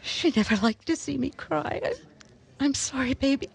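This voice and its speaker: A woman's voice: high-pitched, moderate pace, gravelly. A woman playing a tender, heartbroken middle-aged mother, speaking tearfully in a 1930s-style radio horror drama. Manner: tearful, broken murmuring.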